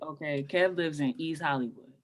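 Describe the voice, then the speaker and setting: A woman's voice: deep voice, moderate pace, lightly acted. A woman playing a razzing, loyal friend in her early thirties in a screenplay table read, blunt and slangy. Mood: dry razzing.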